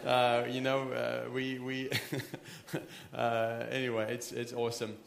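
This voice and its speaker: A man's voice: mid-range voice, monotone, takes it slowly, creaky, reverberant. A man in his thirties with a South African accent, preaching an earnest, conversational sermon with frequent restarts. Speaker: pastor. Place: church sanctuary.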